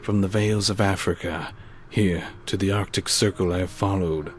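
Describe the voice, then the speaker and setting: A man's voice: sits low, steady tone, moderate pace, slight background noise, lightly acted. A man playing a dying, obsessed old scientist in a horror audio drama, grave, weary and theatrical. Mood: bitter, exhausted.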